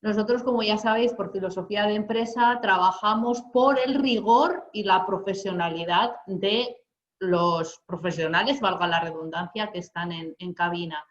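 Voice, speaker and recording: A woman hosting for a manufacturer, thanking her guest in a measured, courteous way. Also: mid-range voice, reverberant, muffled recording. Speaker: host for the manufacturer. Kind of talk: formal wrap-up interview.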